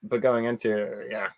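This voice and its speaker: A man with an average-pitched voice talks as a guest in a casual interview. He is earnest and rambles, feeling his way haltingly through long, looping thoughts. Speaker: interview guest, convention organizer.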